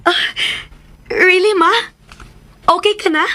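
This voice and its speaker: An actress playing an eager, dutiful young student daughter in a Filipino radio drama, with a Filipino accent. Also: female voice, very high voice, fairly even intonation, slow pace, pushed and bright, full character voice. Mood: eager, reassuring.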